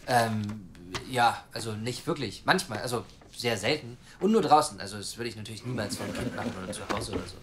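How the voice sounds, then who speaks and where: A man's voice: on the low side, quick pace, few pauses, a little hiss or hum, lightly acted. An actor as a breezy, charming male journalist. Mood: hesitant. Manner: easygoing, slightly boastful, informal. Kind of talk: naturalistic audio drama acting.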